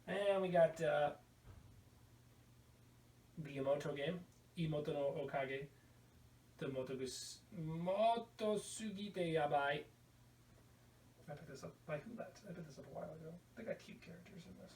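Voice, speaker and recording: A man's voice: a little high, a little slow, pauses often, darkish tone. A man hosting a casual video podcast, rambling through a show-and-tell with an irreverent, crude sense of humor. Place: indoor room at home. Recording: roomy, distant mic, faint background noise.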